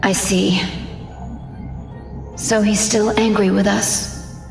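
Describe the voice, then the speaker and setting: A tender, hopeful mother-to-be in a game cutscene. She speaks softly and slowly in an echoing space, with faint music behind. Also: mid-range voice, flat delivery, gravelly. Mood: gentle. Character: gentle, hopeful expectant mother. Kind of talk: video game cutscene voice acting.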